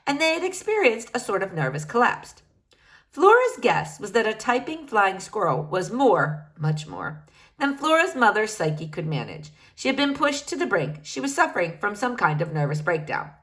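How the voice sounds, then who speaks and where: Expressive woman reading a children's novel. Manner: expressive, shifts pitch for each character. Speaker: reader.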